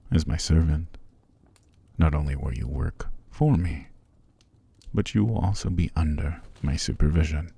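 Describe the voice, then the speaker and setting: A man playing a possessive, seductive vampire master in an ASMR roleplay, calm and commanding, slow and formal, often sinking to a gravelly whisper. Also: a bass voice, no echo, full character voice. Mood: commanding, reassuring. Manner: slow, formal, soothing but controlling.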